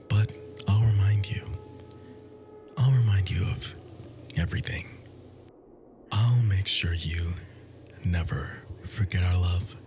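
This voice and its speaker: A man voicing an ancient, possessive immortal lover in an ASMR roleplay, hushed, breathy and lingering. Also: low-pitched.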